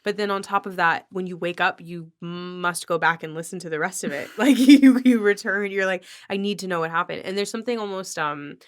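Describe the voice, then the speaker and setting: A woman's voice: lowish voice, a little fast, hardly any pauses. A woman hosting a casual podcast conversation, talking reflectively in long explanations. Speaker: podcast host.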